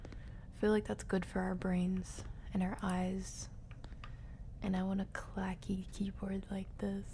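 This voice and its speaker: A young woman chats casually as she streams a game, with a mellow, unhurried voice. She rambles playfully to herself and often softens into breathy murmurs, with faint noise behind her. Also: medium pitch, monotone. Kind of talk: casual live stream chat while playing. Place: her room.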